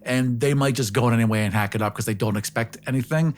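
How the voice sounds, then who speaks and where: Mid-pitched man chatting casually. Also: fast. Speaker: podcast panelist. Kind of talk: casual chat.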